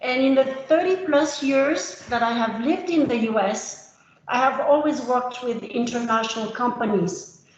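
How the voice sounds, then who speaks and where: A French-born woman in her fifties giving a measured webinar presentation as a consultant, with the odd "uh" between phrases. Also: high-pitched, muffled recording. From France. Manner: measured, with filler uhs. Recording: roomy video-call audio.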